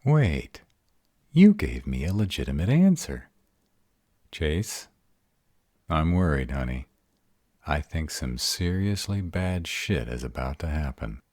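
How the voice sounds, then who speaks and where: A man's voice: extremely low pitch, very animated, slow, long pauses, darkish tone, no echo, clean studio sound, full character voice. A man acting a sarcastic, baffled vampire in an audio roleplay drama, wary and exasperated, with a casual, sweary manner. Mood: worried, probing.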